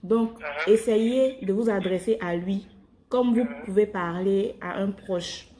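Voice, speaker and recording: A woman's voice: mid-range voice, slow, warm tone. A woman evangelising on a phone call, explaining her faith informally and rephrasing as she goes. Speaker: evangelist calling strangers by phone.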